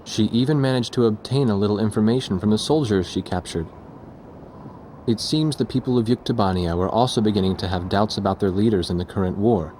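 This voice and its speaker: Earnest war reporter played by a man, low and sober, measured delivery for military game cutscenes, faint noise behind. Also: warm tone, close to an everyday voice. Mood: reflective, sober. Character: earnest, reflective male war reporter narrating his memories. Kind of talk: scripted game cutscene narration.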